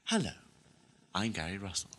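British bloke doing a jokey intro, lowish voice, animated, noisy tape. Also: male voice, moderate pace. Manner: wry, jokey. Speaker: producer and interviewer. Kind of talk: tongue-in-cheek scripted-sounding introduction. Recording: clear background noise on tape.

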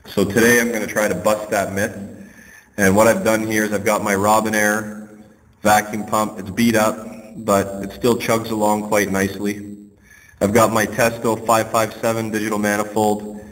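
A man working as an HVAC technician, casually walking through a hands-on test with a relaxed, plain-spoken manner and frequent ums. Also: on the low side. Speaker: HVAC technician and channel host. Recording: reverberant space with faint noise.

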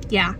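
Tired, hoarse young woman vlogging from her car. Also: heavy background noise. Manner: chatty, tired, quick asides with small laughs. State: hoarse from a cold, losing her voice. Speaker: vlogger and working actor. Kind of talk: casual vlog chat to camera. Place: parked car, seen. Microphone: phone held at arm's length.

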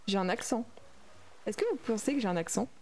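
A woman's voice: mid-range voice, animated, a little fast, warm tone. A young adult woman streaming a game, chatting casually and reading and answering her viewers' messages aloud. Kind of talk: casual livestream chat while playing a game.

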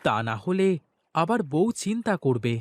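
A man voicing a humble, excitable village boatman in a fairy-tale cartoon, earnest and expressive. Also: high-pitched, normal speed, no room sound. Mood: anxious.

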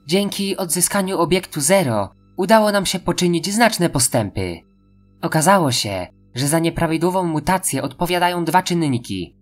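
A woman's voice: fairly low pitch, expressive, moderate pace, faint music behind. A woman playing a deferential female research scientist, giving a formal, clinical briefing in an audio drama.

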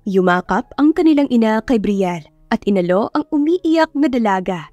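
Female audiobook narrator, fairly high pitch, dramatic story reading, close dry sound with music. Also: lively intonation, normal speed, warm tone, no echo, highs cut off. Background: background music.

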